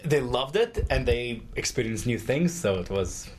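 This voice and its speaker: A man's voice: medium pitch, lively intonation, unhurried. A man from Russia, a podcast host asking a musician casual questions in slightly phrasing. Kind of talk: casual interview.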